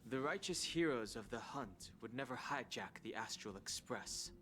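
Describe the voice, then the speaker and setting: Game guardian: young, guarded and measured, mid-pitched, slight background noise. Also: male voice, lively intonation, bright, forceful tone. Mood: stern, challenging. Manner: formal, measured, wary. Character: stern, guarded, composed young male train guardian.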